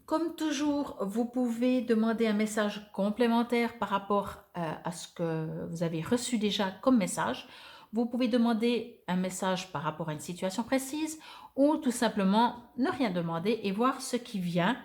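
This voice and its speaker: A woman's voice: medium pitch, lively intonation, moderate pace, darkish tone. A woman giving a weekly oracle card reading, thinking aloud with many hesitations as she reads card texts and comments on them.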